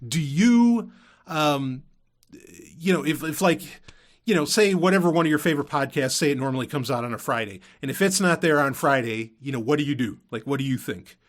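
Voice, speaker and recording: A man with a fairly high voice chats solo to his podcast listeners. His talk is informal and loosely strung, looping back over the same point, with some mild swearing. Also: expressive, a little fast. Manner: rambling, circles back and repeats himself; informal, mildly profane. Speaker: podcast host. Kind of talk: casual solo chat to listeners.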